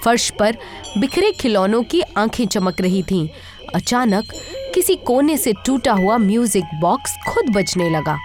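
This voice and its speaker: Slow-paced woman narrating horror. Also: medium pitch, very animated, music in the background. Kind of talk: scripted horror story narration.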